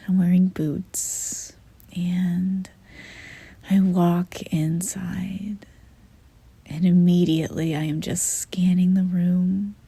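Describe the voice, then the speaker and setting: A woman softly narrating a guided visualization meditation in a gentle, breathy half-whisper, pausing often mid-sentence. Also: fairly low pitch, no room sound.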